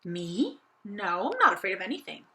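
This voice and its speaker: A woman's voice: mid-range voice, wildly swooping pitch, moderate pace, pushed and bright, full character voice. A woman voicing a bold, curious young girl doll in a children's story, with an eager, wide-eyed manner. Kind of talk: children's audiobook character voice.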